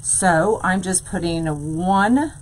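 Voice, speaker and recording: Lady doing a live wreath tutorial, slow easy talk, kinda low voice, says y'all a lot. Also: rough recording. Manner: chatty, says "y'all", answers live chat. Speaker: wreath-making tutorial host. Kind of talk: live craft demonstration. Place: craft room full of ribbon shelves.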